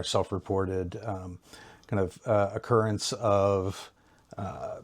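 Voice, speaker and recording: A middle-aged man with an American accent explains ideas like a lecturer, in a lowish voice, over a video call. He talks in a measured, hesitant way, with frequent ums and restarts. His delivery stays calm and steady. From Ohio, United States. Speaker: psychology lecturer, guest. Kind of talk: explanatory academic talk.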